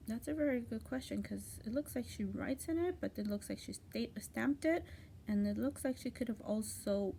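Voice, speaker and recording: Crafty lady gushing over handmade tags, voice kinda hoarse, chatty, faint hiss behind her. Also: medium pitch, a little mellow. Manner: gushing, chatty, restarts often. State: voice going hoarse, coughing. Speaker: crafting channel host. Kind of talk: casual craft mail unboxing.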